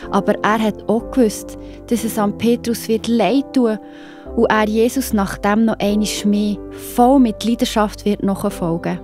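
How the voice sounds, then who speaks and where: A woman's voice: mid-range voice, fairly even intonation, a little slow, darkish tone, faint music behind. A woman with a Swiss German accent narrating a scripted children's Bible story expressively, speaking directly to young listeners.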